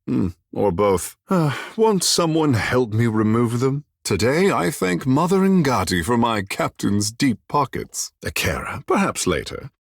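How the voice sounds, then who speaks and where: A vain, theatrical water mage from a fantasy video game. His voice is low and husky, rising and falling dramatically. He phrases things with lofty, courtly formality, and the sound is close and dry. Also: big pitch swings, measured pace, hardly any pauses, no room sound, exaggerated voice. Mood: playful, haughty.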